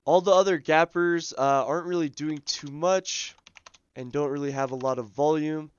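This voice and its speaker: A man hosting a live day-trading stream, his voice scratchy as he loses it, talking through charts in a relaxed way full of ums and uhs. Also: a little high, normal speed, clear, resonant tone, lo-fi sound. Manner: relaxed, filler-heavy, thinking aloud. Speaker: day trading livestream host. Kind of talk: live market commentary.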